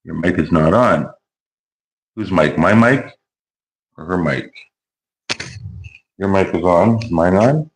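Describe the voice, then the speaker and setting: A man with a very low voice that has gone hoarse, talking casually to his vlog audience. He rambles along easily and restarts his sentences. A faint hiss sits under his wireless clip-on mic. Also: expressive, takes it slowly, pauses often, poor audio. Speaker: YouTuber and car builder.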